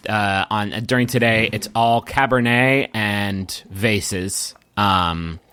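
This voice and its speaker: A man improvising narration as game master of a tabletop roleplaying game, halting and rewording as he goes. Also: lowish voice, bright, forceful tone, clean tone.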